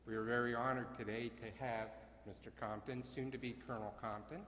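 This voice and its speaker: A man running a ceremony as its emcee, with a loud voice and a halting way of talking, full of uhs and self-corrections. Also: medium pitch, fairly even intonation, a little hiss or hum. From Bucks County, Pennsylvania. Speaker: ceremony emcee and CAP wing commander. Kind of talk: ceremony address. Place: chapel. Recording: echoey chapel with long reverb.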